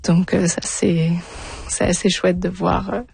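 A woman's voice: lowish voice, level tone, a little slow, vocal fry, darkish tone, slightly rough, no echo. A young woman from France answering interview questions casually, hesitating often with fillers and restarts. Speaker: interview guest, new PhD in physics.

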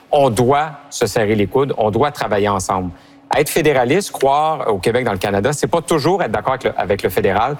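Man, young, Quebec French accent, debating, firm, repeats his points. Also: mid-range voice. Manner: firm, hammering repetition. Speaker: party leader in a debate. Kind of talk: debate argument.

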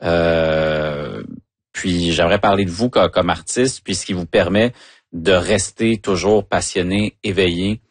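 A deep-voiced man with a Quebec French accent conducts a conversational interview. His questions come out tentatively as he searches for the phrasing, and the sound is dry and close. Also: fairly even intonation, unhurried, no echo.

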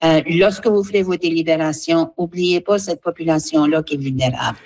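A woman's voice: deep voice, fairly quick, darkish tone. A woman, explaining earnestly as an association representative in a spontaneous interview.

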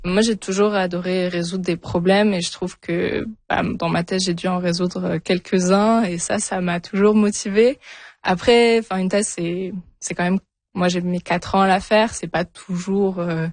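A young woman from France, answering interview questions in a thoughtful, halting way as she searches for words. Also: lowish voice, fairly even intonation, a little mellow, no room sound. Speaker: interview guest, new physics PhD.

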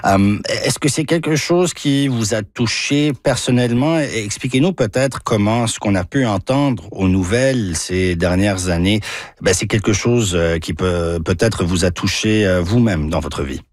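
A male radio host with a low-pitched voice talks in a relaxed, chatty way, often restarting his sentences. The sound is dry and close, with no room echo. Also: unhurried. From Lebanese roots. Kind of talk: casual radio interview.

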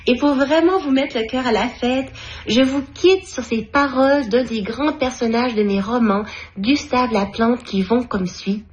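A woman's voice: fairly high pitch, highs cut off. A woman reading a prepared, proud message as an author. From Acadian New Brunswick, Canada. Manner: earnest, proud, measured. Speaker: author giving a recorded message.

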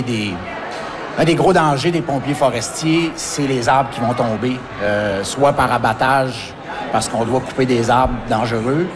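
Québécois guy in his 50s, lots of euhs and restarts, noisy outdoor background. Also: medium pitch. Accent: Québécois French. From Quebec. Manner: halting, many restarts and fillers. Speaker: author and forest firefighter. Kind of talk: radio interview.

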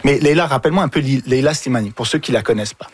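A man with a slightly husky, mid-pitched voice hosts a relaxed radio panel. He talks in a playful, self-mocking way and laughs easily. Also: rapid-fire. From Africa. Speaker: radio show host. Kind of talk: casual radio panel chat.

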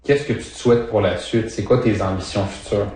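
A man's voice: lowish voice, fairly even intonation, fairly quick, a little mellow, husky. A man with a Québécois French accent, a radio reporter asking questions and chatting casually. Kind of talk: casual interview chat.

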